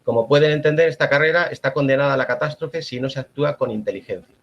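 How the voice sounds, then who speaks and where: Measured Spanish man, dull lo-fi sound. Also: mid-range voice, a bit bright. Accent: Spanish (Spain). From La Mancha, Spain. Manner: measured, formal delivery. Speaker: agronomist giving a conference talk. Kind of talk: prepared conference presentation.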